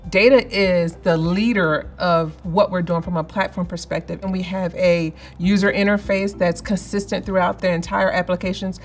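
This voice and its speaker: Middle-aged woman, low-ish voice, measured corporate interviewee, faint ambience. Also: fairly even intonation, a little hiss or hum. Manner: measured, explanatory, with fillers. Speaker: company representative. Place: office interview set, indoors.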